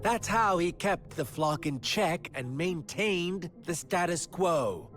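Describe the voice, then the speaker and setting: A man voicing a taunting, playfully menacing video game villain with theatrical, teasing, drawn-out delivery over music. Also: sits high, expressive, fairly bright tone, full character voice. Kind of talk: dramatic video game voice acting.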